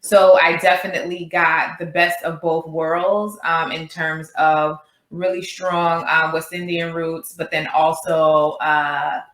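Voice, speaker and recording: Slow-talking New woman, bright. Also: low-pitched, roomy sound. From Queens, New York. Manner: animated, laughs while talking. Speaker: podcast guest, business co-founder. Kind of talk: casual chat.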